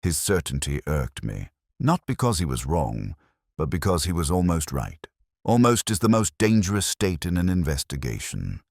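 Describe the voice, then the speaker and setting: A man reading scripted first-person detective fiction in a measured, brooding, literary manner. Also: sits low, big pitch swings, creaky, no echo, clean studio sound. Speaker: narrator.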